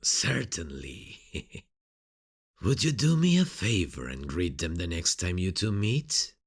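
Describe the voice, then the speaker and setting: A sadistic villain for an audio roleplay, very slow and husky. The pitch swoops playfully as the killer mocks and teases, savoring every threat. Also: male voice, big pitch swings, full character voice. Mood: gloating, teasing. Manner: mocking, teasing, drawn-out delivery with sinister chuckles. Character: sadistic, playful serial killer taunting a detective. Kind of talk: ASMR roleplay acting.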